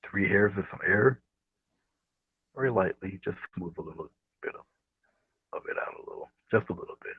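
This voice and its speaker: A man with a low, husky voice giving a casual painting demonstration, speaking softly and often trailing off into a murmur. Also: expressive, normal speed, long pauses. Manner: soft, murmuring, trails off near whisper. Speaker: guest painting instructor. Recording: dull, roomy livestream audio.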